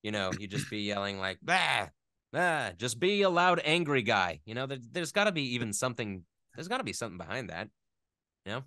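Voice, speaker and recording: A man speaking casually as an interview guest, rambling along with animated, geeky enthusiasm. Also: medium pitch, fairly quick, no room sound, clean studio sound.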